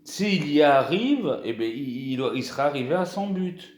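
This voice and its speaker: A man's voice: fairly high pitch, expressive, moderate pace, echoey room. A young man from France talking casually to his viewers in a vlog, hesitant, with many restarts and fillers. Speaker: YouTuber.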